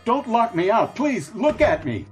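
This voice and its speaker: An American actor playing a nostalgic, bewildered middle-aged man in a radio drama, speaking earnestly and haltingly. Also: high-pitched, big pitch swings. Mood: desperate, pleading. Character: nostalgic, bewildered man revisiting his boyhood town. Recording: studio radio drama with music bed.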